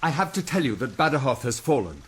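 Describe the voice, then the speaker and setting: Anxious officer reporting to boss, quick husky voice, very deferential, radio play. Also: male voice, medium pitch, sing-song, a bit bright. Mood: anxious, formal. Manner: hesitant, deferential. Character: anxious, deferential staff officer bearing bad news. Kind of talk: period radio drama acting.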